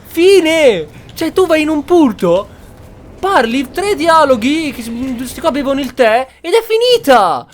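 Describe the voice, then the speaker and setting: Lively, blunt game streamer. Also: high voice, moderate pace, slight background noise. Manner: blunt, exclaiming, colloquial. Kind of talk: casual game commentary.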